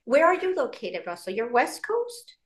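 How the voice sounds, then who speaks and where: A woman from Queens, a meditation teacher and author, explaining her practice calmly and carefully on a video call. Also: on the high side. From New York, Queens. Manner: calm, measured explanations with fillers. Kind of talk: interview guest. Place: outdoors or by a window in a loud city.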